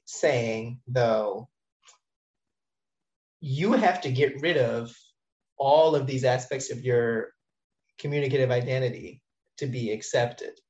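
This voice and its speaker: A man in casual conversation, thinking aloud in a rambling way with many fillers and restarts. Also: mid-range voice. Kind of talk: casual discussion of language teaching. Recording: roomy, dull-sounding audio.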